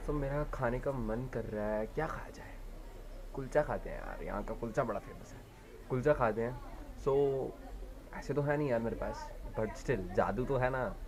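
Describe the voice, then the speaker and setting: A young man talks in a casual, playful vlog style. His voice sits at a middle pitch. There is some noise behind him as he speaks informally to viewers. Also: normal speed. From India. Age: 20s. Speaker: vlogger. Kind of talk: casual vlog chat to camera.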